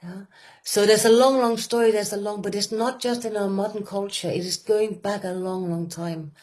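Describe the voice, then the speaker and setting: A woman with a Danish accent speaking earnestly and with passion, pausing often with fillers and restarting her sentences. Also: medium pitch, steady tone, a little mellow. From Denmark. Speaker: women's work facilitator. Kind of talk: reflective conversation.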